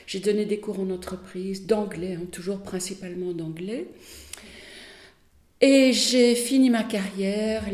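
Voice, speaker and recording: A woman speaks slowly and thoughtfully, her voice low and mellow. She pauses often with little fillers as she recounts her life. The sound carries a noticeable room echo. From Belgium, Wallonia. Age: 60s. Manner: measured, hesitant with frequent fillers. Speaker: interviewee, artist. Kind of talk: reflective personal testimony.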